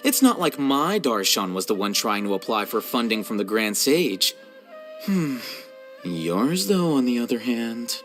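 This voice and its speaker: Aloof, deadpan scholar, music behind. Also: male voice, medium pitch. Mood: dry, dismissive. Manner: measured, deadpan, matter-of-fact. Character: aloof, dry, intellectual male scholar, calm and unambitious. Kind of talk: video game voice acting.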